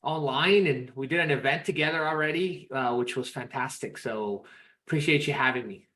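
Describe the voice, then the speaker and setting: A man's voice: medium pitch, normal speed, bright, forceful tone. A man from Peru, in his thirties, chatting as a podcast guest in an upbeat, rambling way with many restarts. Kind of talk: casual interview chat.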